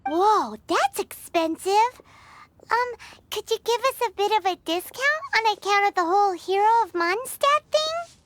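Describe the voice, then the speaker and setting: A woman voicing a small, childlike fairy companion in a video game, with a squeaky, excitable, chatty delivery. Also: unhurried, exaggerated voice. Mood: indignant. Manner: refers to self in third person, exclamatory.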